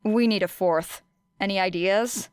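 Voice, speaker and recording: A woman's voice: medium pitch, unhurried, smooth, dry recording, clean studio sound, lightly acted. A woman voicing a scrappy, determined teenage girl in an audiobook, casual and blunt in manner. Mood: decisive.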